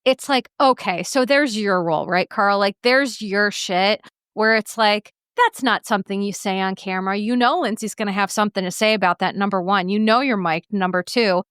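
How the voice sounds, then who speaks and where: A woman hosting a podcast in casual chat, outspoken and animated, swearing freely. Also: mid-range voice, moderate pace, smooth, no echo, studio quality. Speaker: podcast host.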